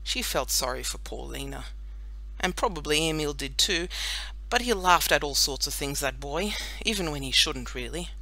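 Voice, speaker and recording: A woman narrating a children's audiobook in an expressive storytelling style. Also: low voice, normal speed, vocal fry, poor audio.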